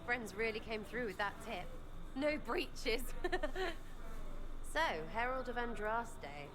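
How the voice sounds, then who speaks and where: A woman's voice: a little high, animated, slow pace, noisy background. A woman playing an irreverent young female elf archer in a game, slangy, mocking and scattered in how she talks. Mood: breezy.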